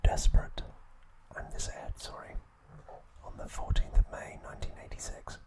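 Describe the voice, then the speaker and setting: A man narrating in a hushed, breathy ASMR whisper, in short phrases with pauses. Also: low-quality recording. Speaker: ASMR host.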